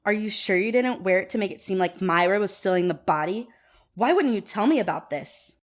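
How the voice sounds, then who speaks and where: A woman's voice: medium pitch, expressive, fairly quick, lightly acted. A woman playing a gossipy, sassy, accusing wedding guest in an audio drama.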